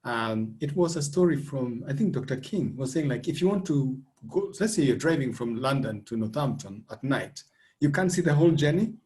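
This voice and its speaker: Relaxed man giving advice. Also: medium pitch, moderate pace. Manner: relaxed, loose, with "like" fillers. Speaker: guest from a council digital team. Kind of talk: casual panel discussion. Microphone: video call.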